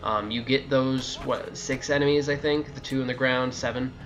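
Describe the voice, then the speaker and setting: A man's voice: mid-range voice, fairly even intonation, normal speed, fairly bright tone, lo-fi sound, faint music behind. A man giving a casual, sweary, exasperated video game walkthrough commentary.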